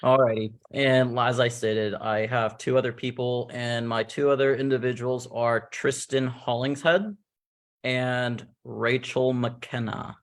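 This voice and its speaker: Relaxed man hosting a casual reveal. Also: mid-range voice, measured pace. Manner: rambling, relaxed, with fillers. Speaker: host revealing a game cast. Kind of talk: casual online cast reveal.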